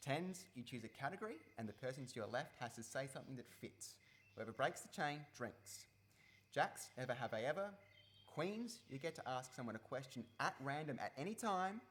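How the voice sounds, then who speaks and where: An actor playing an easygoing young man in a radio play, talking casually with halting explanations, recorded live. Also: medium pitch, expressive, fairly bright tone, close to an everyday voice. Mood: patient, explaining. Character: easygoing young man, former farm boy, patient game explainer. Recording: live recording with clear background noise.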